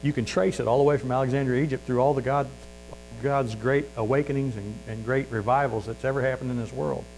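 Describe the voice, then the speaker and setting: A man with a Missouri accent delivers a sermon in a folksy, earnest manner, stumbling through fillers and restarts. His pitch sits mid-range for a man. A faint electrical hum runs under the recording. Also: fairly even intonation, fast, creaky, a little mellow, low-quality recording. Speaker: preacher.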